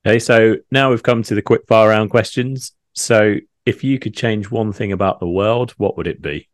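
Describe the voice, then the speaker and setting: A man hosting an interview podcast, putting short, direct questions to his guest. His voice sits fairly low. The recording is dry and close. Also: steady tone, moderate pace, no room sound. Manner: brief prompting questions. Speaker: podcast host.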